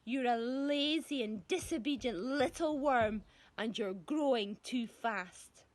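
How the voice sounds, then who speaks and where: A woman's voice: a little high, no echo. A woman reading a children's storybook, voicing a grumpy, spiteful old grandmother who scolds in a screech. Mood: spiteful scolding. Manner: scolding, drawn-out, insulting.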